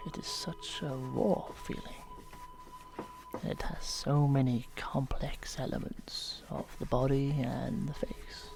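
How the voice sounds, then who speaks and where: A soft-spoken television painter gone unhinged, as a man acts him out. He whispers slowly and soothingly, his pitch rising and falling in lively swoops. Faint music plays underneath. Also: creaky, poor audio. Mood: calm. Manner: slow, soothing, softly menacing. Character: gentle middle-aged male TV painter turned unhinged. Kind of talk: horror ASMR role-play.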